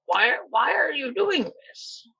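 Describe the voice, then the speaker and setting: A man's voice: very high-pitched, very animated, slow pace, vocal fry, sharp tone, husky, no room sound. A man playing in a casual tabletop role-playing game, improvising in a hesitant, stumbling way through a slightly muffled mic.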